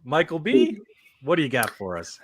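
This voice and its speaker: A man's voice: on the high side, huge pitch swings, moderate pace, crowd noise. A man hosting a casual online panel, moderating with playful, teasing banter.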